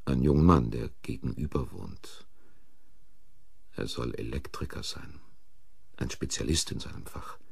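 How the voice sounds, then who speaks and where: A man's voice: rumbling low, expressive, measured pace, creaky, long pauses, a little mellow, slightly rough, no echo, heavily acted. A man acting a defensive, sardonic accused man in a German crime radio play, brooding and bitter. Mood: bitter, resigned. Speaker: actor.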